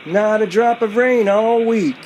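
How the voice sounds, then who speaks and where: Guy doing a folksy gas station man, high voice, drawly country talk, radio play, some background noise. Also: darkish tone. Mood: folksy, matter-of-fact. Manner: folksy, colloquial, drawn-out. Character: folksy, talkative male rural gas station attendant.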